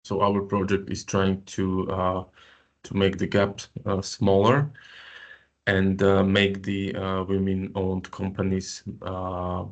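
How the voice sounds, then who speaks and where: A man's voice: low-pitched, monotone, slow. A man from Slovakia presenting as project coordinator in an online info session, hesitant with many fillers. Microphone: video call.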